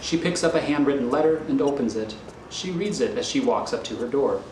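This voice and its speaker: A man reading scripted scene directions as narrator, in a deliberate storytelling manner. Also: mid-range voice. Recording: echoey room with faint noise.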